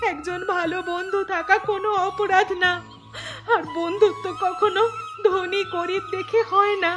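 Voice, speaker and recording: A woman acts out a grieving village mother in a cartoon melodrama. Her voice is extremely high and tearful, with music clearly audible behind it.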